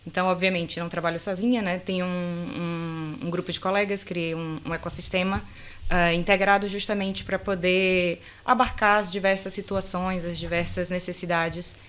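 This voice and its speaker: A woman's voice: on the low side, level tone, moderate pace. A middle-aged woman from Bahia in Brazil with a Bahian Brazilian accent, a lawyer chatting casually as a podcast guest, filling her talk with little tags. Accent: Brazilian Portuguese, Bahia. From Salvador, Bahia, Brazil. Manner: warm, chatty, many fillers like "né" and "pronto".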